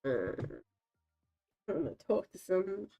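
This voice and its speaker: A woman's voice: medium pitch, wildly swooping pitch, slow, creaky, mellow, soft background music. Scratchy-voiced woman streamer, chatty. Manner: rambling, chatty, talks to herself and the game's dogs. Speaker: game streamer. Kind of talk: casual gameplay commentary.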